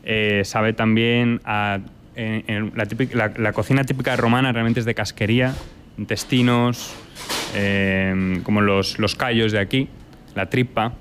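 A man's voice: fairly low pitch, measured pace. Italian-spanish guy, restaurant owner, chatty about food in an interview, faint noise behind. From Italy and Spain. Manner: lively, mixes in Italian food terms. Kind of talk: interview answers.

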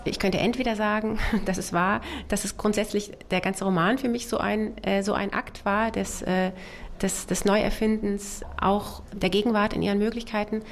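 A woman's voice: medium pitch, steady tone, normal speed, a little hiss or hum. Hesitant, thoughtful woman novelist. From Germany, child of Soviet immigrants. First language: Russian. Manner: thoughtful, hesitant, many fillers and restarts. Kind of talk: literary interview.

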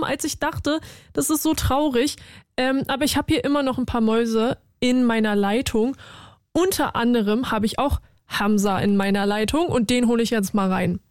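A woman's voice: on the high side, clear, resonant tone, no echo, studio quality. Chatty young woman radio host. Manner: chatty, lively, slangy and warm toward callers. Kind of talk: casual radio call-in chat. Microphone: studio mic.

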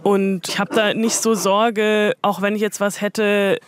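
A woman's voice: mid-range voice, level tone, measured pace. A woman speaking as an interview guest, conversational, explaining her views in a conciliatory way. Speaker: interview guest, museum curator.

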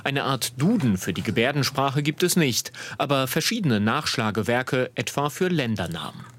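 A man's voice: medium pitch, very animated, normal speed, fairly bright tone. A man with a standard German accent narrating a scripted TV report.

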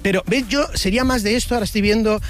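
A man's voice: high-pitched, rapid-fire, faint music behind. A man from Spain reporting live outdoors in a park into a handheld microphone, chatty and jokey, often stumbling and restarting his words. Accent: Spain Spanish. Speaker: street reporter.

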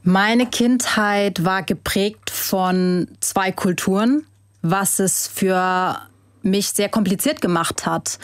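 A woman from Germany, a guest and author, giving thoughtful, measured interview answers with the odd hesitation. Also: mid-range voice, dry recording, studio quality.

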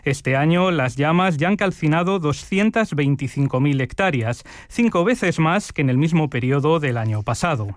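A man's voice: a little high, animated, dry recording. Formal male news anchor, dry studio. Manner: measured, formal delivery. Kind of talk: scripted news reading.

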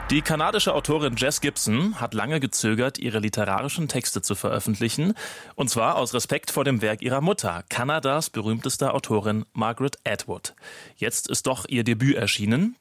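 A man announcing programme segments on the radio in a crisp, formal way. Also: medium pitch, a bit bright, no room sound, clean studio sound. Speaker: radio presenter.

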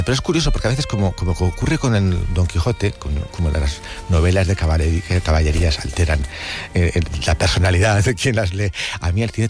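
Male radio contributor, low pitch, reflective conversational storyteller, music bed underneath. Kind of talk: conversational anecdotes about cinema. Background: background music.

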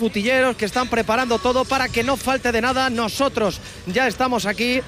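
A man with an accent from Spain giving a live radio match report from pitchside. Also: a bit bright. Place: stadium, pitchside, outdoors.

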